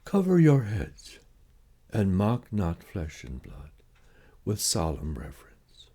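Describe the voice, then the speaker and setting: A man reciting Shakespeare monologues in a slow, theatrical and deliberate way, with weighty pauses. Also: sits low, wildly swooping pitch, gravelly, warm tone, husky.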